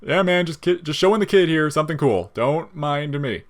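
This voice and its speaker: A man's voice: a little high, animated, moderate pace, pitched above the performer's own voice, natural voice. A man as a wry, world-weary skeleton. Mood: casual, explaining. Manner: slangy, sardonic, explaining things casually. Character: wry world-weary skeleton monster, jolly front, bitter underneath.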